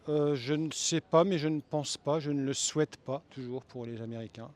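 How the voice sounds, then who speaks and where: A man's voice: fairly high pitch, normal speed, a little mellow. A man giving a casual, spontaneous interview answer, hesitant and groping for his words. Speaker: passer-by being interviewed.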